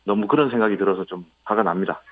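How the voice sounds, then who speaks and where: A man's voice: fairly low pitch, racing. A man giving a spontaneous, polite account as an interviewee over the phone, with somewhat hesitant phrasing. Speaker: interviewee, airline passenger. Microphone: phone call. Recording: muffled, band-limited phone connection.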